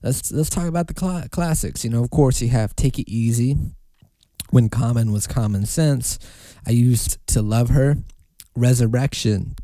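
Laid-back man with a dark, mellow voice. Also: mid-range voice, a little slow, no echo. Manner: loose, rambling, swears casually. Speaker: podcast host. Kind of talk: casual solo podcast talk.

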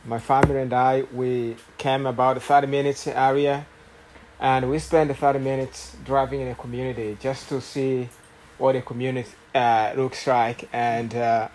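A man in his late thirties from Rwanda, with a Rwandan accent, preaching as a guest speaker and telling his story with many pauses, fillers and restarts. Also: medium pitch, darkish tone, a little hiss or hum, rough recording. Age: late 30s. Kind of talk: sermon testimony.

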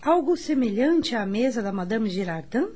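A woman acting as a curious young lady of good family in a radio drama. She has a high, breathy voice and speaks with formal politeness. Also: lively intonation, normal speed, a little mellow. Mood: curious, polite. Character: well-bred, curious young unmarried lady. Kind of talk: radio soap opera acting.

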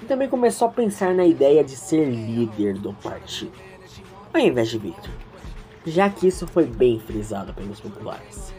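Low, lively woman storyteller. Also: normal speed, soft background music. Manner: chatty, reflective, addresses the listeners. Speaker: narrator and host. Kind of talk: informal storytelling narration with asides.

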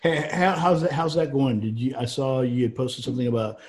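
A man with a faint Southern drawl talks in a loose, rambling way, often restarting his sentences. His voice sits at an ordinary middle pitch, and he chats casually as a co-host. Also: a little fast. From Georgia, US. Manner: rambling, animated, frequent restarts. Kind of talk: casual chat.

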